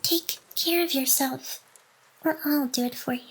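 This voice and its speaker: A woman's voice: high-pitched, unhurried, fairly bright tone, slight background noise, poor audio. A woman playing a playful AI hacker girl in an intimate ASMR roleplay, teasing and mock-aloof while secretly smitten and protective of the listener. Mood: earnest, protective. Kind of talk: ASMR roleplay, intimate one-sided scripted drama.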